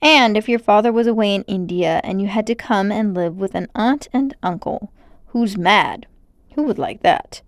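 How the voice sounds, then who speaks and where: A woman voicing an excitable, brooding and adventurous schoolboy in a storybook, speaking emphatically. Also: mid-range voice, normal speed, dry recording, studio quality, lightly acted. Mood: indignant, upset. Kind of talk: storybook character voice.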